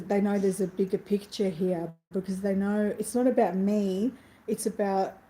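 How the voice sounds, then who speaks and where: A middle-aged woman, talking straight to her live-stream viewers. Her voice is mellow and soft-edged, and she gushes and rambles through many restarts. She is tearful and sniffly throughout. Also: mid-range voice. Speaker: host of a spiritual live stream. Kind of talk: casual live-stream chat.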